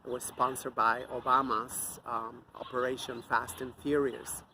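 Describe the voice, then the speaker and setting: A man's voice: medium pitch, level tone, a little slow, pushed and bright, slight background noise. Angry man ranting outdoors. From Mexico. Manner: angry, profane, scornful. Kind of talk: off-the-cuff ranting monologue.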